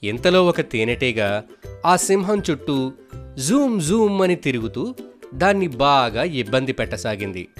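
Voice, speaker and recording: Animated male storyteller over soft music. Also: a little high. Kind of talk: scripted narration of children's moral stories.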